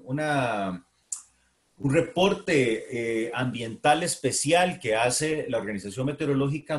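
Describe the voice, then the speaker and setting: A middle-aged Costa Rican man giving a university lecture, with a Costa Rican accent, pausing often with fillers and restarting his phrases. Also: medium pitch. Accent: Costa Rican Spanish. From Costa Rica. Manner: hesitant, many fillers and restarts. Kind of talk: academic lecture.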